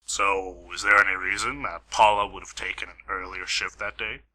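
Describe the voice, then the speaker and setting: A man's voice: low-pitched, fairly even intonation, moderate pace, sharp tone. A man playing a blunt, hard-nosed police interrogator in an amateur radio play. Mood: probing.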